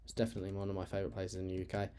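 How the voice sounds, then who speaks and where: A man's voice: low-pitched, completely flat delivery, racing, warm tone, clean tone. A man from England whose English accent has Irish touches. He chats casually as a streamer while playing a game, rambling with many fillers and restarts. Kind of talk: casual chat while gaming.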